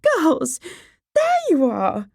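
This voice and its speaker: A fussy, excitable middle-aged mother from a period storybook. Her voice shoots up very high and swoops about as she exclaims and hushes. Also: normal speed, dry recording, clean studio sound, exaggerated voice. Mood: excited. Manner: shrill, exclaiming, breathless hushing.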